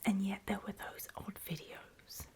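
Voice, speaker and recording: A woman playing a wary, battle-worn survivor of a zombie apocalypse, speaking in a breathy, deliberate whisper in an ASMR horror roleplay. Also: lightly acted. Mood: uneasy, reminiscing. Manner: hushed, deliberate, halting storytelling with pauses.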